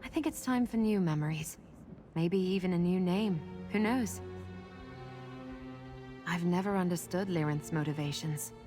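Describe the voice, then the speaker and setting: Low-voiced, earnest heroine played by a woman. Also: measured pace, pauses often, music in the background, lightly acted. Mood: hopeful, reflective. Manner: earnest, reflective. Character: thoughtful, hopeful young woman freed from prophecy. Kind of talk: scripted fantasy game acting.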